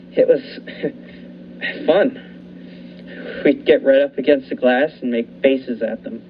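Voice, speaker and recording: A man's voice: medium pitch, very slow. A young man narrating a radio thriller in the first person, telling it steadily and building the tension. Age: young adult. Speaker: narrator and lead actor. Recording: dull lo-fi recording, faint hiss.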